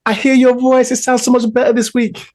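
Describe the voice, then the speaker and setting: A woman's voice: on the high side, little pitch movement, brisk, darkish tone. A middle-aged woman co-hosting a podcast, bubbly and playful, laughing often, her voice just back after an illness. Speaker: podcast co-host. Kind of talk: casual chat.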